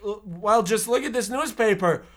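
A man playing a confident, scheming boy hero with halting, mock-earnest speech in a radio serial parody. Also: high voice, a bit bright. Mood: mock-forlorn. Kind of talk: hobby old-time radio parody acting.

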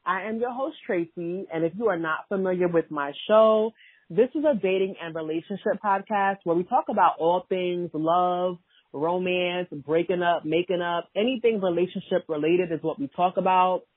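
Chatty woman podcast host, breathy, thin phone-like sound. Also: on the low side, moderate pace, no room sound. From Ghana (family), raised in America. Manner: chatty, filler-heavy, laughs easily. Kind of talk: casual chat.

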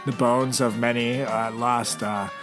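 A man's voice: medium pitch, flat delivery, measured pace, music in the background. A man co-hosting a comedy podcast, teasing his partner and riffing on absurd jokes. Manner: teasing, riffs with absurd bits. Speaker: podcast co-host. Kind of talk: casual comedic chat.

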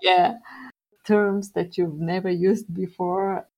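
Brief: young Bulgarian-accented woman, lowish voice, slow relaxed delivery. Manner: unhurried, laughs often while telling. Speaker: interview guest. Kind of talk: casual interview.

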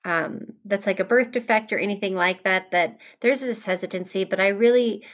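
A woman's voice: mid-range voice, normal speed. Woman, American accent, podcast host, conversational interview delivery.

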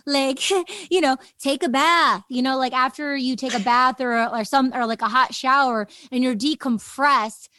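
A woman's voice: high-pitched, a little slow, few pauses, sharp tone, no room sound. A woman hosting an interview podcast in casual chat, talking in an enthusiastic, gushing, rambling way. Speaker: podcast host interviewing a guest.

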